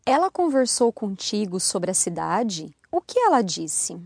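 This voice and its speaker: A woman co-hosts a language lesson podcast and reads her dialogue parts with plenty of life. Her pitch moves a lot. The sound is dry and close to the mic. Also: very animated, moderate pace, vocal fry, slightly rough, no room sound. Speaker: co-host reading dialogues.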